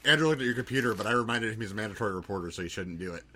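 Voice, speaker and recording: A soft-spoken man in his thirties co-hosting a casual podcast chat. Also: lowish voice, rapid-fire. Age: 30s. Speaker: podcast co-host.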